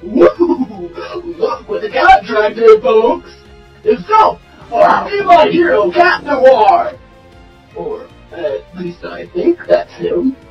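Gloating cartoon villain announcer, showy, drawn-out lines, music underneath. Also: female voice, on the high side, sing-song, muffled recording, full character voice. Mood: showy announcing. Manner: showy, taunting. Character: gloating, theatrical TV-announcer supervillain. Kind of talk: comic dub villain acting, game-show announcing. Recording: roomy, distant, music behind.

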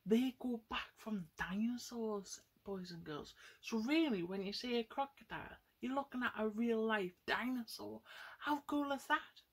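A woman's voice: on the high side, unhurried, husky. A young woman telling a story to children, addressing them directly with questions and exclamations. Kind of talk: storytelling to children with paper puppets. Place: small room at home, indoors.

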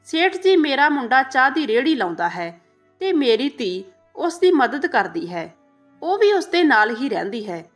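A woman's voice: high-pitched, big pitch swings, normal speed, faint music behind. A woman voicing a humble, devoted maidservant and mother in a storybook tale, respectful and earnest.

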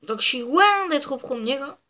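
A woman's voice: sits high, expressive, normal speed, bright, forceful tone. A woman with a stuffy, nasal voice from a cold, chatting casually through gameplay commentary with lots of fillers. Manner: chatty, rambling, many fillers. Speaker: gaming video creator.

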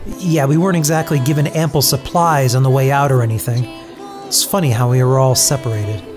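A man plays a sardonic young wanderer in an audio drama. His voice is medium in pitch, dry and grumbling. Music plays clearly underneath. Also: fairly even intonation, brisk, natural voice. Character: sardonic young wanderer named Simon, male.

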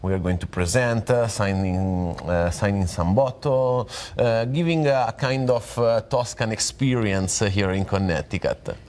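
Italian guest, male, low voice, halting second-language English, playful. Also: darkish tone. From Italy. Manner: hesitant, many filler pauses, playful joking. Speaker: winemaker guest. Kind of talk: TV interview.

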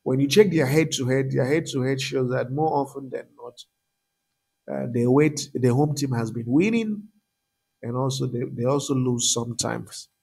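Young Nigerian man, husky, relaxed chatty host. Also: mid-range voice, normal speed. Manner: chatty, many "um" fillers, addresses viewers directly. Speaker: betting tips channel host. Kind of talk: casual screen-share commentary.

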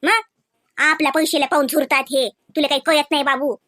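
A woman's voice: high-pitched, steady tone, a little fast, sharp tone, no echo, clean studio sound. A woman voicing a bossy, stingy village mother in a comedy cartoon, scolding bluntly in a Vidarbha Marathi accent. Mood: nagging. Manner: scolding, blunt, badgering with questions. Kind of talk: comedy cartoon dialogue in rural dialect.

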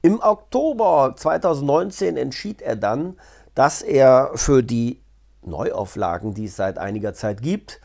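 A man's voice: mid-range voice, animated, unhurried, creaky, darkish tone. A man gives a casual, unscripted explainer in a chatty, colloquial way with wry asides. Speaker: vlogger and audio drama reviewer. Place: living room, seated on a sofa indoors. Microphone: clip-on lapel mic.

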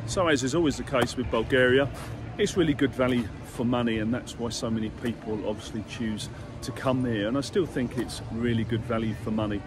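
A man's voice: medium pitch, fairly even intonation, brisk, noisy background. A middle-aged man talking to a handheld camera outdoors at a ski resort, a chatty, upbeat guide giving facts and prices. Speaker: travel vlogger. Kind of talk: casual travel vlog to camera. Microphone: action camera held at arm's length.

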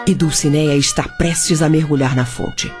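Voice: medium pitch, steady tone, moderate pace, loud music behind the voice. A narrator with a Brazilian accent telling a radio-play fable in a theatrical, playful way.

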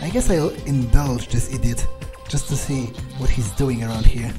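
A man's voice: medium pitch, moderate pace. A man role-playing a game character in a playthrough, thinking aloud in a hesitant, musing way. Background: game music.

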